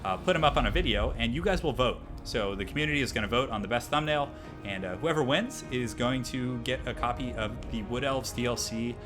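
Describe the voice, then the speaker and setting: A man with a voice hoarse from a cold, casually commentating a replay of a strategy game for viewers. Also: mid-range voice, a little fast, music in the background. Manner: casual, chatty, addresses viewers as guys. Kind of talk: gameplay commentary.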